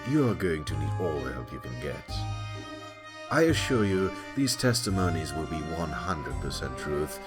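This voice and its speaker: A man's voice: extremely low pitch, sing-song, normal speed. A man playing a stern, sly rat prosecutor in an audio drama, formal and haughty with a threatening edge. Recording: loud music under the voice.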